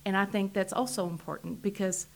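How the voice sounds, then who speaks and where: A woman with a Texas accent answering interview questions as a political candidate, earnest and measured. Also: mid-range voice, fairly even intonation, vocal fry.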